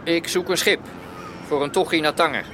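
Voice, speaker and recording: Actor as terse gangster over noisy ambience. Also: male voice, on the high side, moderate pace, a crowd in the background, close to an everyday voice. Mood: firm, businesslike. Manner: terse, commanding, matter-of-fact. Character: cool, businesslike gangster arranging smuggling deals. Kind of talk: Dutch radio drama acting. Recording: clear ambient noise behind voice.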